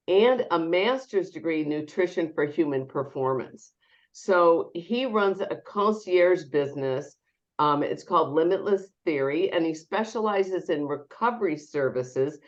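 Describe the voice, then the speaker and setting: A woman's voice: sits low, highs cut off. A woman hosting a fitness webinar, talking in a chatty way with lots of ums, her voice nasal as she recovers from an illness. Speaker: webinar host and company CEO. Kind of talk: welcoming webinar introduction.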